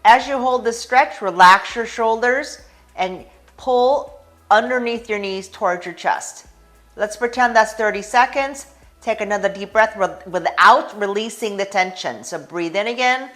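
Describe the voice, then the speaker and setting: An American woman chiropractor talking through a stretching routine, calmly guiding breaths and holds. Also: medium pitch, unhurried, faint music behind. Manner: calm, guiding with breathing cues. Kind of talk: instructional stretching demonstration.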